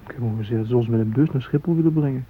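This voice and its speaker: An actor playing an uneasy train hostage in radio drama dialogue, conversational, worried and a little defensive. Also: male voice, mid-range voice, very fast, husky, natural voice. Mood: anxious, gossiping. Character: uneasy male train hostage swapping rumours. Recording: tinny, narrow-band old radio recording.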